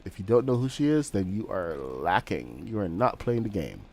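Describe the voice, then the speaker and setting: Male streamer, slightly low voice, has a cold; loose, rambling play-by-play with fillers. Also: sing-song, moderate pace, a little mellow. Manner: rambling, with frequent fillers and restarts. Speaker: game streamer. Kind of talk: casual live-stream commentary while building.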